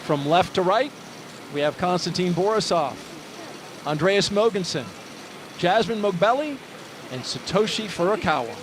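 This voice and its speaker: A male launch host with a slightly raised voice narrates the crew's walkout in a steady, informative way. A faint hiss of noise sits under the broadcast feed. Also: high voice, normal speed, pauses often. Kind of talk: live launch commentary.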